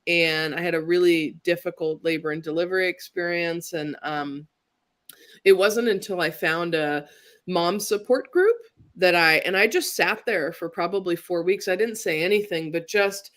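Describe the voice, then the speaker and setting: A woman's voice: fairly low pitch, moderate pace, a bit bright. Reflective woman hosting a livestream chat. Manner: reflective, thoughtful, asks open questions. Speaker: livestream host. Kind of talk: conversational interview.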